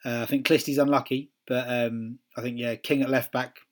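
A man's voice: medium pitch, flat delivery, moderate pace. A man with a Welsh accent chatting casually as a podcast co-host, musing aloud with ums and restarts. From Wales. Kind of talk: casual chat.

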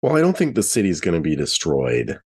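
A man playing a tabletop role-playing game, talking casually in character and haltingly, repeating words as he thinks aloud. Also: sits low, wildly swooping pitch, darkish tone, husky.

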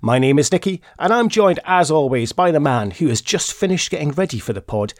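A man's voice: on the high side, animated, fairly quick. A man hosting a casual podcast over a remote call, teasing his co-host, just getting over a cold. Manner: teasing, jokey. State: recovering from a cold. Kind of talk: casual chat.

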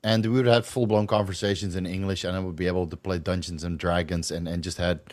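A man in his forties from the Netherlands, hosting a casual livestream Q&A with a slight Dutch accent. He is tipsy and talks in a loose, rambling way. His voice sits a little low. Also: little pitch movement, fast talker. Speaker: host.

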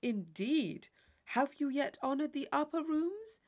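Audiobook role: woman as witty young gentleman, playfully affected, mock-formal, lively swooping delivery. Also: sits high, slow, voice pushed up, heavily acted. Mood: simpering, affected. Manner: arch, fluent, mock-formal. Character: witty, teasing gentleman in his mid-twenties, playfully affected. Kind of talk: classic novel audiobook character voice.

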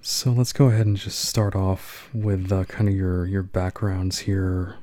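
Measured podcast host, low mellow voice. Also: male voice, flat delivery, dry recording. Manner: slow, measured, with frequent "uh" fillers. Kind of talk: podcast interview.

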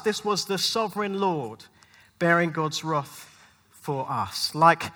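A man preaching a sermon to a congregation in an emphatic, rhetorical manner with dramatic pauses. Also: a little high, bright, forceful tone. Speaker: pastor, guest preacher. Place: large hall at a live church event. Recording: long hall reverb.

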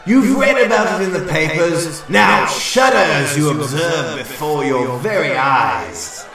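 A declaiming sideshow showman. He draws words out in a gravelly, swooping voice that echoes heavily around him. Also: a little high, animated, a little slow. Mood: gleeful, grandiose. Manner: grandiose, declamatory. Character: theatrical carnival barker presenting a freak exhibit. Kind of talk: showman's announcement in audio drama. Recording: echoing, distant voice under music.

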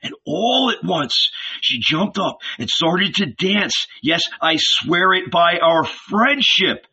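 A man voicing an excited, persuasive male shepherd disciple who urgently pleads with a friend. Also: high voice, takes it slowly, breathy edge, pitched above the performer's own voice, heavily acted. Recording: dull lo-fi recording.

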